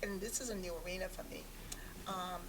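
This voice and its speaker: A woman speaking reflectively as a panelist in a discussion, pausing often as she searches for words. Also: fairly low pitch, fairly even intonation, crowd noise. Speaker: panelist, game maker. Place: live event panel. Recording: distant microphone, clearly noisy room.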